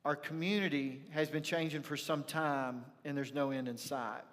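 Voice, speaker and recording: Male pastor, Texan accent, folksy storyteller with emphatic pauses, somewhat high-pitched, long room reverb. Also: steady tone, a bit bright. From rural North Texas, USA. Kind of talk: sermon with personal storytelling. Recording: reverberant hall, church livestream.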